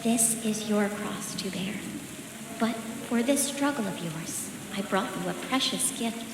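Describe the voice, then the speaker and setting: A woman's voice: mid-range voice, expressive, unhurried. An actress playing a devout, consoling woman in a video game, solemn and preachy in delivery. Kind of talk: dramatic video game dialogue. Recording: echoey reverberant hall with rain noise.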